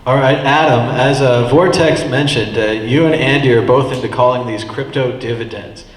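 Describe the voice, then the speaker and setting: A man's voice: medium pitch, normal speed. A man moderating a live meetup panel, asking questions with hesitant fillers. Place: meetup venue hall. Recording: echoey hall with long reverb.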